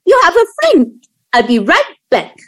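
Woman acting a very high, girlish young daughter. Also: huge pitch swings, a little slow, exaggerated voice. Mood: hurried, breezy. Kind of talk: audio play acting.

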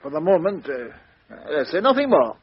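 Fastidious sleuth, hesitant, slightly high, 1950s radio play, dull lo-fi. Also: male voice, very animated, breathy edge, no room sound, full character voice. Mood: musing. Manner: hesitant, musing, stammering repeats. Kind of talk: 1950s radio drama acting. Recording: dull lo-fi old radio recording.

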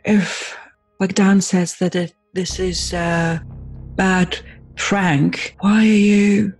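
A woman improvises in character as a player in a tabletop game. She speaks haltingly at a middling pitch, with faint music playing behind her. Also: fairly even intonation, slightly rough.

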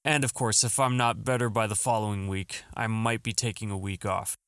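A man's voice: lowish voice, fairly even intonation, brisk, fairly bright tone, smooth, no echo. A man hosting a podcast from his home studio, talking in an upbeat, earnest tone while his throat is still scratchy from the flu. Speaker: podcast host. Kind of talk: solo podcast monologue.

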